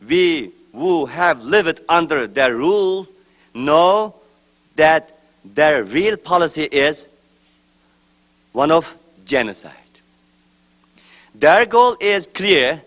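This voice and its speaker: A man from Turkestan, giving a formal prepared speech at a press event, slow, deliberate and emphatic, his phrases trailing off at the ends. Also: on the high side, sing-song, fairly bright tone. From East Turkestan.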